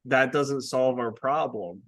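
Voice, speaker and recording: A man co-hosting a casual book review podcast, talking in an animated, exasperated way and swearing casually. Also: medium pitch, slow pace, vocal fry, no room sound. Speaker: podcast co-host.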